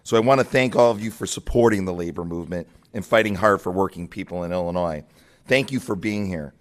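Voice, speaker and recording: A man's voice: low voice, fast. A man giving a rousing labor speech at a political breakfast, lifting his voice on key words. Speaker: labor leader speaking at a party breakfast. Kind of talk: rally speech.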